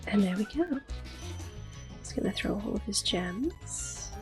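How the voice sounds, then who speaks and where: A woman giving gentle, unhurried ASMR game commentary in a soft, breathy voice that often drops to a whisper. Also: medium pitch, big pitch swings. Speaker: gameplay host. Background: video game music.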